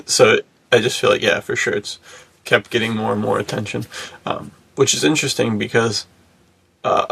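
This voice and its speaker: A man's voice: on the low side, fairly even intonation, moderate pace, gravelly, a bit bright, husky. A man co-hosting a podcast in casual, slangy, enthusiastic bro talk. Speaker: podcast host. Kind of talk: casual chat.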